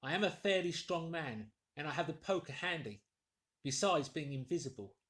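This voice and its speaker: An invisible man from a classic storybook, acted out by a male reader, menacing and in command. He gives curt, formal orders and threats in a slightly high male voice. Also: animated, moderate pace, a bit bright.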